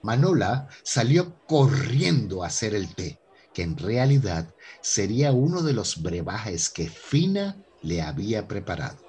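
A man's voice: on the low side, sing-song, measured pace, darkish tone, slightly rough. A man narrating a scripted radio drama as its storyteller.

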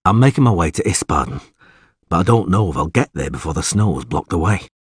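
A man voicing a terse, weary monster hunter with dry wit in an audiobook, speaking in a laconic, deadpan way. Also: deep voice, brisk, breathy edge, no echo, full character voice. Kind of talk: audiobook character acting.

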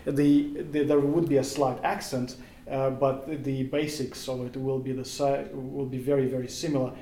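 A man with a Russian accent, his first language Russian, answers as a podcast guest, slowly building his thoughts and circling back to restart phrases. His voice sits in an ordinary middle register. The recording has a noticeably echoey room sound. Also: medium pitch, flat delivery, warm tone, a little hiss or hum. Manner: thoughtful, hesitant, searches for words with many restarts. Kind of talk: casual podcast interview.

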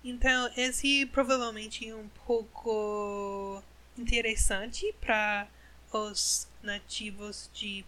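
Slow, bright-voiced woman learning Portuguese. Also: on the high side, low-quality recording. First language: English. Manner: slow, careful learner's speech with self-corrections. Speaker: podcast host. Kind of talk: casual podcast monologue.

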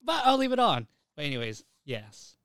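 A man's voice: on the high side, wildly swooping pitch, moderate pace, fairly bright tone, clean tone, no echo, clean studio sound. A man hosting a podcast in casual banter, crude and sweary, animatedly acting out other people's reactions. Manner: crude, swearing, animatedly acts out imagined onlookers. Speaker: podcast host.

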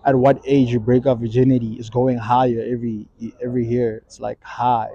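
A man's voice: medium pitch, level tone, brisk, mellow, dry recording, muffled recording. A young man in his twenties with a South African accent, co-hosting a podcast in slangy, joking banter. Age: 20s. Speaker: podcast co-host. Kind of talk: casual chat.

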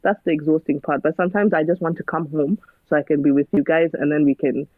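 A woman's voice: low-pitched, steady tone, quick pace, no echo. A woman speaks earnestly and drifts into preaching, piling up rhetorical questions. Her voice comes through a narrow, phone-like channel, dry and close, with no room sound. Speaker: guest. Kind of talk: casual conversation.

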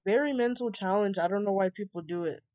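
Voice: mid-range voice, fast talker, darkish tone, no room sound, muffled recording. A podcast host from the United States ranting loosely about the news, rambling and laughing between lines. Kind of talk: casual podcast chat.

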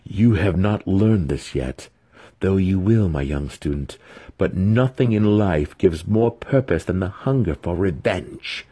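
Proud dark elf noble for fantasy audiobook, performed by a man: husky, indignant, intense, sneering, hungry for revenge. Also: low-pitched, lively intonation, measured pace, full character voice. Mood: vengeful. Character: trapped male dark elf noble, proud, bitter, vengeful.

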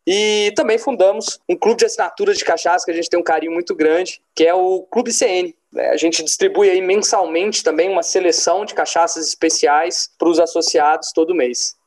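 A man's voice: high-pitched, steady tone, moderate pace, hardly any pauses, darkish tone. A man with a Minas Gerais accent, an interview guest who explains his business in an easygoing way and often tags his sentences with a question. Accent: Minas Gerais Brazilian. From Brazil, born in Brasília. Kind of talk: relaxed interview answers.

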